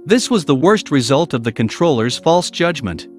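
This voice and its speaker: A man reads a scripted documentary narration. His voice sits a little higher than most men's, and soft music plays behind him. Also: sing-song, moderate pace.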